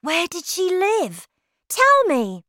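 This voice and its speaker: A woman's voice: very high-pitched, huge pitch swings, slow pace, pushed and bright, no echo, clean studio sound, voice pushed up, full character voice. A woman voicing an eager, indignant small boy in a children's storybook, full of breathless questions and exclamations. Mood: eager, excited. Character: excitable young boy turned mouse, eager and indignant.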